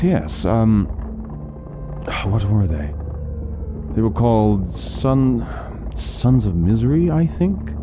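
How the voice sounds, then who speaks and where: A man playing a courteous, learned doctor in a fantasy audio drama, speaking formally and deliberately with some hesitance. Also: sits low. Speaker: voice actor in an interactive fantasy audio story. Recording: music behind the voice.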